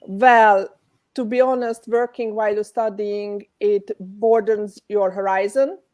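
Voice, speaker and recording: A woman with a Hungarian accent answers questions in an online webinar. She talks reflectively, at a mid pitch, over a video call connection. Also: steady tone, a little slow, a little mellow. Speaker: guest speaker. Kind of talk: webinar interview.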